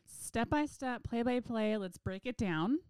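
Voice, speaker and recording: A woman in her early thirties co-hosts a casual podcast in a mellow, warm tone. She teases and cracks jokes easily. Her voice sounds close and dry. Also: on the high side, animated, measured pace, clean tone, no room sound. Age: 30s. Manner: teasing, wisecracking. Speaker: podcast co-host. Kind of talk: casual chat.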